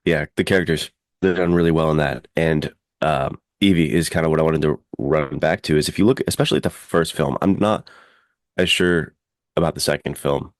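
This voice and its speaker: Very deep, gravelly man. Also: fairly quick, no echo, studio quality. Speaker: podcast co-host and adventure fiction writer. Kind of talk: casual podcast discussion.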